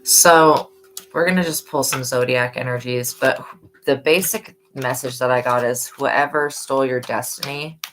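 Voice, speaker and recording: Hoarse woman, very deep voice. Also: fairly even intonation, measured pace, a bit bright, a little hiss or hum, rough recording. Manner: earnest, motherly reminders, preachy about faith. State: hoarse from yelling. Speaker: tarot reader. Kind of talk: live-stream tarot reading with spoken prayer.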